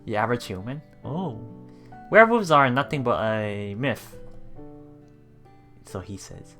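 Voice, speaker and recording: A man with a mid-range, very animated voice reads game text aloud and breaks off for casual asides. Soft game music runs behind him. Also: mid-range voice, unhurried. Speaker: let's play host. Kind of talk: reading visual novel text aloud with casual commentary.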